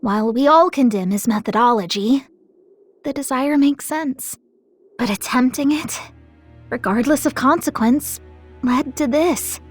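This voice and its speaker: High-pitched, spirited little goddess leader. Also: female voice, husky, soft background music. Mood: earnest, grave. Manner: brisk, cheeky, earnest authority. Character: bossy, spirited small female deity commanding time patrollers. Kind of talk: English anime game dub acting.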